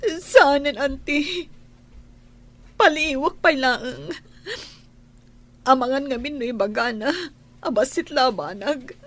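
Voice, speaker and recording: A woman's voice: sits high, slow, long pauses, a bit bright. A woman acting a hurt, pleading young pregnant wife in an Ilocano radio drama, emotional and questioning. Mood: tearful, worried.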